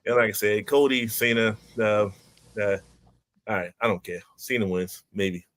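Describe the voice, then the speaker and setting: A man on a casual panel chat, relaxed and offhand as he gives his picks. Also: lowish voice, measured pace.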